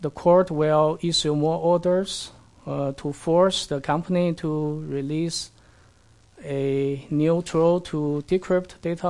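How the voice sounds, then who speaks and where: Chinese-native man lecturing, slightly high voice. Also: a little mellow. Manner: halting non-native English with frequent fillers. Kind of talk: academic lecture with audience questions.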